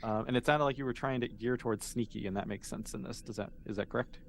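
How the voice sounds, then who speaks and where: A man's voice: medium pitch, fast, vocal fry, a little hiss or hum. A man running a tabletop role-playing game as game master, explaining things in a casual, encouraging way.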